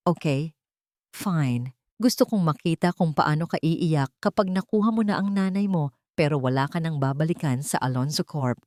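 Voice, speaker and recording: A woman's voice: low voice, expressive, moderate pace, darkish tone, no echo, studio quality. A woman voicing a scheming, arrogant male villain in an audio drama, with a taunting, theatrical manner. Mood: impatient, mocking.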